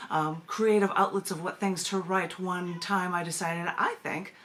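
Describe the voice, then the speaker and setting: A woman's voice: lowish voice, steady tone, moderate pace, fairly bright tone, a little hiss or hum. A woman talking as a radio guest over a video call, chatty and given to laughing and restarting sentences. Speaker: poet and magazine editor, guest.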